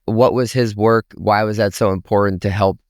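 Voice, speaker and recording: A man's voice: on the low side, steady tone, moderate pace, darkish tone, no echo, studio quality. A man hosting a podcast, interviewing a guest with friendly prompting questions. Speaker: podcast host. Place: conference exhibition booth.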